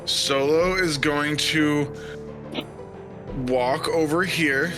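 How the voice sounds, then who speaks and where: Halting man playing a tabletop game, slow pace. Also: a little high, fairly even intonation. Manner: halting, thinking out loud. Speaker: player in a tabletop roleplaying game. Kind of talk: improvised in-character narration at a game table. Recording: music playing behind. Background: background music.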